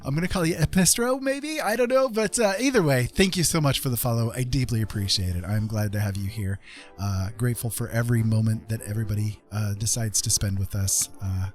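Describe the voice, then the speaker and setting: A chatty male streamer with a medium-pitched voice talks casually and welcomingly to viewers. Faint music plays behind him as he hosts the stream. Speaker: live art streamer and host. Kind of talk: casual livestream chat.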